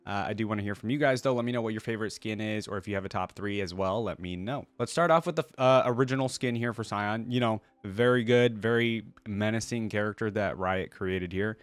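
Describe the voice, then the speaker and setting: A man's voice: on the low side, fairly quick, hardly any pauses, dry recording. A man hosting a reaction video, giving casual, informal commentary in slangy fan talk addressed to his viewers.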